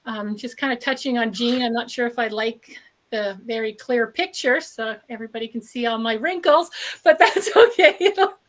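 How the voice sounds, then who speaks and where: A woman's voice: a little high, normal speed, fairly bright tone, a little hiss or hum. Upbeat woman with a voice worn by illness. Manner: upbeat, earnest, many ums. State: voice going from illness. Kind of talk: casual testimonial on a group call.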